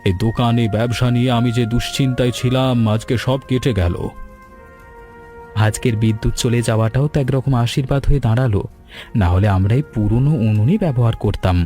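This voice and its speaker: A man's voice: medium pitch, a little fast, warm tone, lightly acted. An actor voicing an earnest, sentimental man from a village sweet-shop family in a cartoon. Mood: moved. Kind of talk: Bengali cartoon dubbing. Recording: clear music bed behind the voice.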